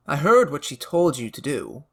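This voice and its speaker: An actor playing a young vampire son in a radio drama, speaking with calm, matter-of-fact menace. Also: male voice, a little high, normal speed, slightly rough, heavily acted. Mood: eerie calm. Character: young man vampire son, eerie, shapeshifting, unrepentant.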